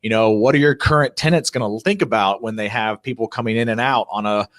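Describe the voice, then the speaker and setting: A man's voice: fairly low pitch, fast, fairly bright tone, no room sound. A man hosting an online interview series, chatty and loose, leaning on "you know" fillers. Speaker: host. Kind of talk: casual interview.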